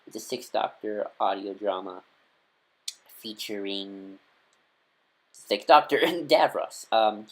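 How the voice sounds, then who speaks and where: A man's voice: fairly low pitch, takes it slowly, pauses often, poor audio. A man talking casually as a fan reviewer, enthusiastic and rambling, with lots of fillers and restarts. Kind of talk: casual fan talk to camera.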